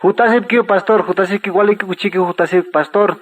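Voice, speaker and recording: A man's voice: sits high, fairly even intonation, fairly quick, close to an everyday voice. An actor playing an agreeable, deferential churchman who repeats himself, in a radio drama. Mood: agreeable, eager. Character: deferential, agreeable church man, eager to help. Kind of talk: scripted radio drama dialogue.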